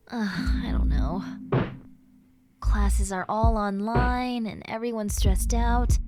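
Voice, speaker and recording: A woman's voice: a little high, dark tone. A woman playing a stressed, caring young best friend, venting earnestly and intimately to the listener in a conversational audio roleplay. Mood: stressed, frustrated. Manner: conversational, sighing, rambling, earnest.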